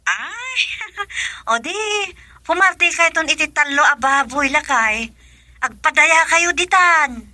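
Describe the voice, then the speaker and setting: A woman's voice: high voice, expressive, takes it slowly, sharp tone, no echo, exaggerated voice. A woman playing an agreeable, practical middle-aged mother working abroad, in an Ilocano radio drama with an Ilocano accent. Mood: pleased.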